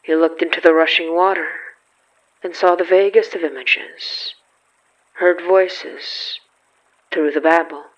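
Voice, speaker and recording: A man reading scripted horror fiction as a narrator, solemn and measured with dramatic pauses. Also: on the high side, fairly even intonation, fairly bright tone, lo-fi sound.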